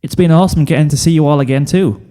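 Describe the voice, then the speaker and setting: A man's voice: a little high, racing, dark tone, voice pushed up, close to an everyday voice. Man voicing a friendly curious filly, long reverb. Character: friendly, curious visiting young girl pony.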